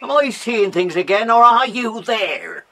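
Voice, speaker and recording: A man's voice: sits high, sing-song, measured pace, sharp tone, heavily acted. An actor playing a cranky, spooked old railway watchman with a muttering, erratic manner in a children's audio drama. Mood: grumbling, agitated. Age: older.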